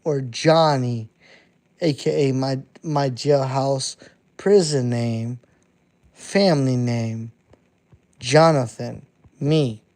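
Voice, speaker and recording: A man's voice: medium pitch, slow pace, long pauses, darkish tone. A man in his thirties, an underground rapper, talking bluntly and profanely to his live-stream chat, repeating himself as he goes. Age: 30s. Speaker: underground hip-hop artist streaming to chat. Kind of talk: casual live-stream monologue.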